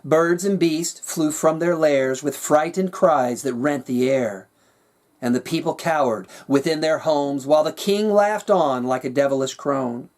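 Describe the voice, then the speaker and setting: A man narrating his own rhyming fantasy story with a measured, dramatic storyteller's delivery. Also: fairly high pitch, a little mellow. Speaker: author reading their own work.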